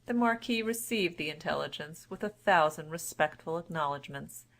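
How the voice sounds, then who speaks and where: A woman storyteller narrating a scripted fairy tale in a formal, measured manner. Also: low voice, expressive, fairly bright tone.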